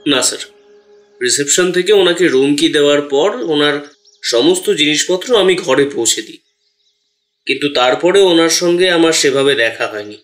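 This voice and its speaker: Polite hotel employee, higher-voiced. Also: male voice, expressive, normal speed, fairly bright tone. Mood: deferential, explaining. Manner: polite, explanatory. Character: deferential male hotel employee answering police questions. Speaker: voice actor in an audio detective drama. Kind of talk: acted dialogue with background music.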